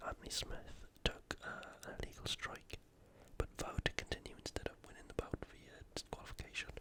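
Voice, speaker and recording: A host giving casual, unhurried sports commentary in a breathy, unvoiced ASMR whisper. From United Kingdom. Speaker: host of ASMR fight preview videos.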